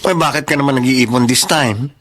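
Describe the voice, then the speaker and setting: An actress playing a blunt, teasing but supportive young friend in a Tagalog radio drama, chatty and given to exclamations. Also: female voice, rumbling low, brisk. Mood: teasing, reproachful.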